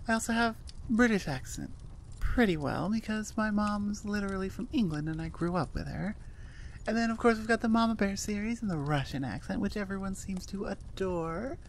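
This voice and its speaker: A woman's voice: mid-range voice, slight background noise. Chatty American woman, casual outro. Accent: General American. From United States. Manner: chatty, self-deprecating, laughing at herself. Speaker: ASMR voice actor.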